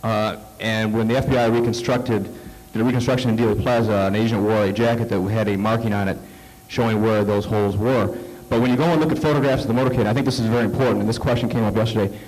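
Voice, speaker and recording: A man presenting slides at a conference, halting with many fillers and restarts, fighting off a cold. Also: on the low side, fairly even intonation, darkish tone, low-quality recording. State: coming down with a cold. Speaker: conference speaker. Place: conference hall.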